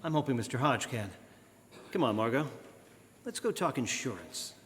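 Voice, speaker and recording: A man playing an earnest, authoritative amateur detective in old-time radio drama style, deliberate and firm. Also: medium pitch, big pitch swings, close to an everyday voice. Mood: probing, composed. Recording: live stage, reverberant church hall.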